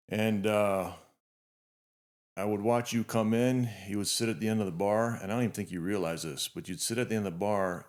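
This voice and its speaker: Podcast host, man, 50, very deep voice, sincere reflective delivery, restarts often. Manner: earnest, reflective, frequent restarts. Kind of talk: heartfelt interview introduction.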